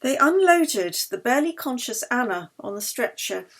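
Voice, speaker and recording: A woman narrating a novel as its author, in an expressive, dramatic storytelling style. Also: a little high, normal speed. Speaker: author reading her own novel.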